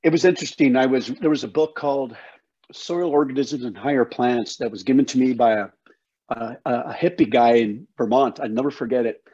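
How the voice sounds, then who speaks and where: A man's voice: mid-range voice, brisk, mellow, breathy edge. An older man, a guest educator explaining things in an emphatic, folksy interview style on a video call. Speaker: guest, soil health educator. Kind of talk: passionate explanatory interview talk. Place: home office, indoors. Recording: slightly dull video call audio.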